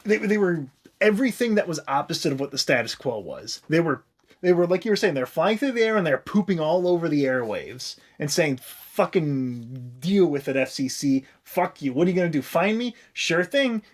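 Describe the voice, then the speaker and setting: Foul-mouthed male comedy podcaster, slightly high voice. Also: animated, moderate pace. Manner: profane, irreverent, joking. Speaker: podcast host. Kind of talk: casual comedic chat.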